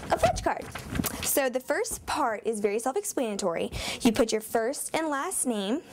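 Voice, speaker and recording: A woman's voice: fairly high pitch, lively intonation, normal speed, heavy background noise. A young woman explaining things step by step as a student news anchor. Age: young adult. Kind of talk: explanatory presenting on a student newscast.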